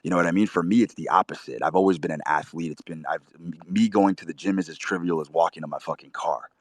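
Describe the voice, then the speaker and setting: A man hosting a talk show, giving blunt, profane motivational advice in a preachy, forceful way. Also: deep voice, steady tone, fast, dry recording. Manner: forceful, swears constantly, preachy. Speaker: show host.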